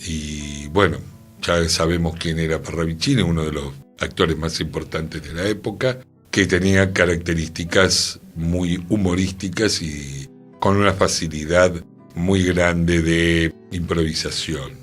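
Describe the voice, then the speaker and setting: A man's voice: low voice, steady tone, measured pace. A man with an Argentine accent hosting a radio talk on culture in a musing, conversational manner. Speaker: radio host. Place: radio studio. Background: music.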